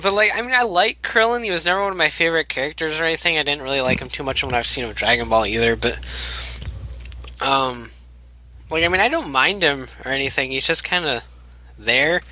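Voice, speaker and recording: A man's voice: fairly high pitch, a little fast, sharp tone. A young man in casual podcast chat, chatty, with lots of fillers and frequent laughter.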